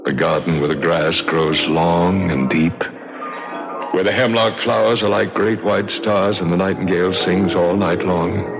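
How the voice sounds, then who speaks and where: Doomed ghost for vintage radio drama: deepish, solemn, pleading, theatrical, unhurried. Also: male voice, fairly even intonation. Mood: solemn, mournful. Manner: slow, solemn, poetic theatrical delivery. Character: mournful, doomed male ghost, pleading and ominous. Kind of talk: 1940s radio acting. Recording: muffled old radio broadcast with loud music.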